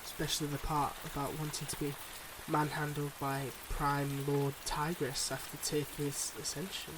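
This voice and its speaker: A man's voice: a little high, monotone, moderate pace, background noise, natural voice. A man playing a teasing, self-deprecating young boyfriend in an intimate roleplay audio drama, speaking straight to the listener with playful banter.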